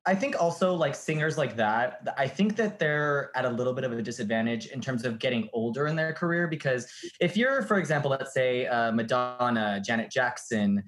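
Giggly podcast co-host. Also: male voice, medium pitch, expressive, fairly quick, fairly bright tone. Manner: giggly, chatty, laughs often. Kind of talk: casual chat.

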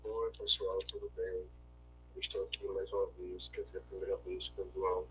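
A man's voice: low-pitched, level tone, bright, forceful tone, slight background noise, rough recording. A young man, a law student, chatting as a guest on a call and cracking self-deprecating jokes. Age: young adult. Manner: joking, self-deprecating, quick comebacks. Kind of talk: casual interview over a call. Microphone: remote call.

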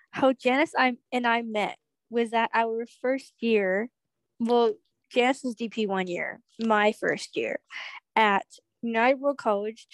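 A young woman hosting a casual interview, bubbly and a little scattered, with quick asides. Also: fairly high pitch, no echo. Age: young adult. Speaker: podcast host.

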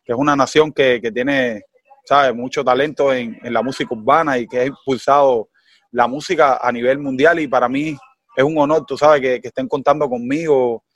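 A young Cuban man with a Cuban accent chatting as a guest in an interview, animated and full of fillers like "you know.". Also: mid-range voice, moderate pace. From Cuba. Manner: animated, heavy fillers like "tú sabes" and "¿me entiendes?". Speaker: guest singer. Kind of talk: casual interview chat.

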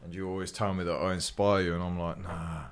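A man in his late thirties with an English accent and a low voice. He hosts a relaxed podcast conversation and speaks in a blunt, matey way, swearing freely. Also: fast, smooth. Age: late 30s. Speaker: podcast host. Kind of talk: casual podcast chat.

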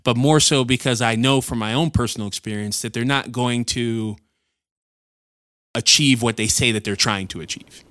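A man's voice: mid-range voice, normal speed, fairly bright tone, smooth, no echo, studio quality. A man hosting a podcast, chatting easily, asking questions and affirming his guest. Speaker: podcast host. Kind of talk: casual interview chat. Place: Ecuador.

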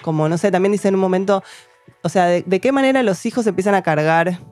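A woman talks through novels in casual chat. She thinks out loud, restarting phrases and tagging questions as she goes. Her pitch sits mid-range, with light music underneath. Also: fast, no echo. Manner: thoughtful, hesitant, many restarts and tag questions. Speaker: podcast co-host reviewing books.

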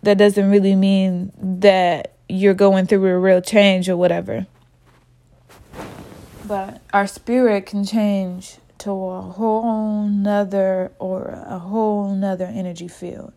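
Casting: woman podcast host, slow unhurried delivery, slightly sniffly from a cold, candid and informal. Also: mid-range voice, level tone, warm tone. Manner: candid, informal, talks straight to listeners as y'all. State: a little sick, sniffly. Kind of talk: spiritual podcast monologue.

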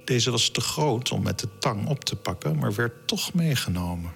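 A man reading scripted science narration in a monotone manner as a podcast narrator. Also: mid-range voice, moderate pace, gravelly. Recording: music behind the voice.

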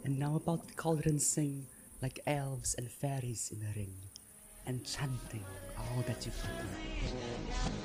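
A man's voice: medium pitch, very animated, slow pace. A man voicing a sinister, commanding witch in a dramatic Shakespeare reading, with incantatory delivery. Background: ominous music.

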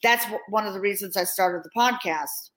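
A woman's voice: medium pitch, normal speed, a little hiss or hum. A woman hosting a podcast, affirming her guest and posing long questions with restarts. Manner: warm encouraging interviewer, affirming, with restarts when asking. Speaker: podcast host. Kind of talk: interview hosting.

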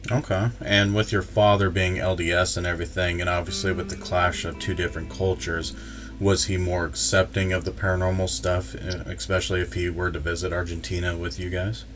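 Low-voiced male podcast host. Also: level tone, fairly quick, fairly bright tone, a little hiss or hum. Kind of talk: casual podcast interview.

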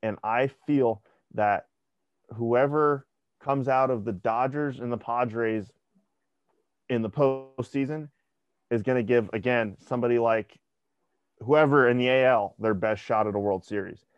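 A man's voice: medium pitch, a little slow, clear, resonant tone, dry recording. A young man co-hosting a podcast, chatting casually about sports and often restarting his words. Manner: conversational, repeats words when restarting. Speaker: podcast co-host. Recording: dry, dull lo-fi sound.

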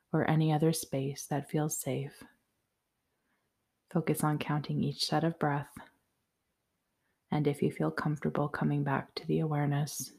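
Woman, meditation guide, low mellow voice, soothing, measured, soft-spoken. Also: vocal fry, breathy edge. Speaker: yoga nidra guide. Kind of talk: guided meditation, slow instructions.

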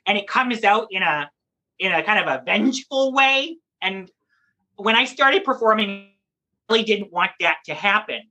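A drag performer talks as an interview guest in a bright, forceful voice at a medium pitch. The delivery is conversational and emphatic, explaining and storytelling with animation. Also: animated, normal speed, poor audio.

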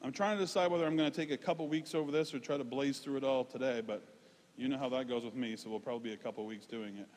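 A man preaching a conversational, informal sermon, emphatic at points and dropping into quieter, self-deprecating asides. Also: medium pitch, steady tone, rapid-fire. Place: large reverberant room, preaching live. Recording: reverberant hall sound.

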